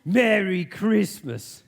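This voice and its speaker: A man's voice: high voice, very animated, drawn-out words, gravelly, heavily acted. A man playing a scornful, ill-tempered old miser in a stage radio play. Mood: scornful, angry. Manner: scornful, barking. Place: theater stage, live.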